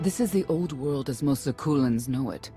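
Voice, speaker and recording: A middle-aged woman playing a sorrowful, weary knight and estranged mother in a game cutscene, speaking in a measured, solemn way. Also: a bass voice, animated. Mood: guarded. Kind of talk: dramatic game cutscene acting. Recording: music behind the voice.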